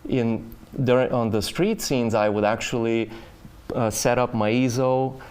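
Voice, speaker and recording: A man's voice: mid-range voice, a little slow, darkish tone, smooth. A middle-aged man from Bulgaria, a painter, telling his story in a casual interview, with many fillers and restarts. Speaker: painter, studio guest.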